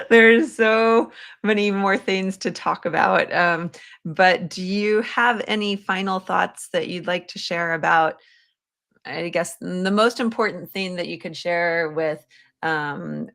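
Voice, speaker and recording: A woman's voice: on the low side, vocal fry, a bit bright. A woman hosting a podcast in a casual, conversational interview style. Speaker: podcast host.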